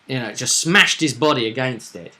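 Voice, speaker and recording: A man co-hosting as game master, casually recapping a tabletop session with drawn-out, dramatic stresses. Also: mid-range voice, pushed and bright.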